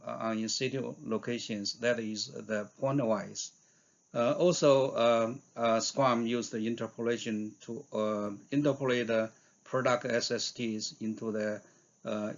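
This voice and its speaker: A man giving a scientific conference talk in a halting way, with frequent hesitations and fillers. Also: medium pitch, steady tone, creaky, muffled recording. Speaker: researcher giving invited talk.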